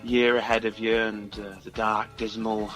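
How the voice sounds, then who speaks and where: A man's voice: on the low side, level tone, normal speed, fairly bright tone, soft background music. A man chatting casually as a musician guest in an interview, talking in a hesitant, rambling, understated way. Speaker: musician guest discussing his own album.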